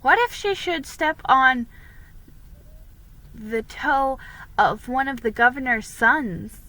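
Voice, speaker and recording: A woman's voice: sits high, takes it slowly, pushed and bright, rough recording. A woman giving a dramatic reading of a children's historical story, stumbling and restarting here and there. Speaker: reader.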